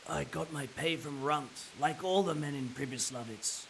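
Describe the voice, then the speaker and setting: An actor playing a resigned, cynical captured mercenary in a video game drama, wry and matter-of-fact, with a gravelly voice. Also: male voice, a little high, moderate pace, fairly bright tone, slight background noise. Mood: cynical, frank.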